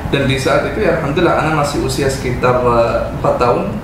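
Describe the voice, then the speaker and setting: A man's voice: medium pitch, quick pace. A young man who directs a school, answering interview questions and mixing in Arabic phrases. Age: young adult. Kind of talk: casual interview. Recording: distant echoey mic with noise.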